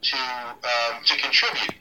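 A middle-aged man, a ring announcer, talking casually in an interview, relaxed and halting with many ums and restarts. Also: mid-range voice, far from the mic, noisy background. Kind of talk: casual interview over a call.

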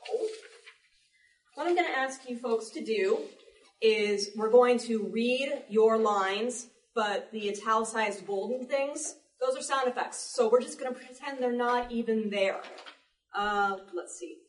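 A woman's voice: a little high, a little slow, a little hiss or hum. Woman presenting in an echoey room, off-mic. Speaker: panel presenter, sound effects artist. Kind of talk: live demonstration talk to an audience. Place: indoor library panel before an audience. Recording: echoey room, distant microphone.

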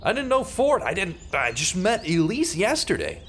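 A man's voice: high voice, wildly swooping pitch, normal speed, a bit bright, slight background noise, heavily acted. A man playing an earnest, desperate journalist in a radio theater drama, speaking with urgent, pleading drama. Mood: panicked, pleading. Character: earnest, desperate male journalist wrongly accused of murder.

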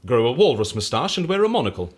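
A fussy man of habit in an audio drama. His husky voice leaps and swoops dramatically as he questions everything, hesitant yet incredulous and easily tempted. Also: medium pitch, big pitch swings, fast talker. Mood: shyly tempted.

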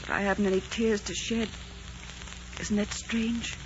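A woman's voice: medium pitch, flat delivery, measured pace, background noise, lightly acted. An actress playing a passionate, despairing queen in love, in theatrical, romantic 1940s radio drama style. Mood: yearning. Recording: muffled 1940s radio recording.

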